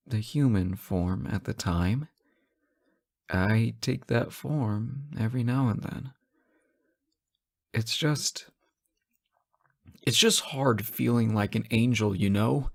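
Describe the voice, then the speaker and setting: A man playing an anxious, devoted fallen angel in an emotional audio roleplay, speaking haltingly and pleadingly to the listener. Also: fairly low pitch, vocal fry, exaggerated voice. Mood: wistful, ashamed.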